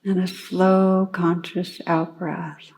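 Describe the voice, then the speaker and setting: A woman meditation teacher leading a guided meditation in a calm, unhurried way, gently instructing with long pauses. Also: fairly low pitch, poor audio.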